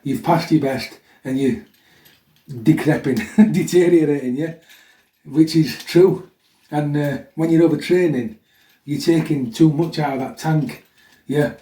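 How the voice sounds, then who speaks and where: A man in middle age speaks with a dark, relaxed tone that is a little chesty. He chats plainly to his fitness audience, often checking in with a "yeah?". He sounds some distance from the microphone in a reverberant room. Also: a little high, measured pace, pauses often. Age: middle-aged. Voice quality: slightly chesty. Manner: chatty, blunt, frequent "yeah?" tags. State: getting over a chest infection. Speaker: fitness vlogger. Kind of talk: casual chat to viewers introducing a workout. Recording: echoey room, mic at a distance.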